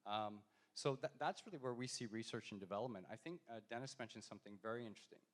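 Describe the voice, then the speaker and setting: A man's voice: mid-range voice, fast talker. A man answering questions on a panel at a university event, hesitant, with frequent ums and restarts. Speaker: industry panelist. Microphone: handheld mic, passed around.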